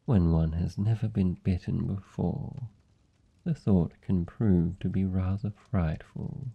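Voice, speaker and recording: A man's voice: low voice, creaky, slightly rough, narrow, tinny audio. A British man playing a suave, seductive vampire lord in a fantasy ASMR roleplay, speaking formally and teasingly with commanding, predatory politeness. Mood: teasing, coaxing. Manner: teasing, formal, silky and deliberate. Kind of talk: fantasy ASMR roleplay, slow intimate monologue.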